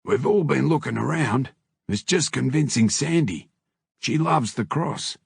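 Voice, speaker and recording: Man doing a blunt, husky biker bloke. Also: mid-range voice, measured pace, no echo, studio quality, voice pushed up, full character voice. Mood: casual, scheming. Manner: blunt, slangy. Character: male biker club member, matey, blunt, rough-talking. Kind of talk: audiobook character dialogue.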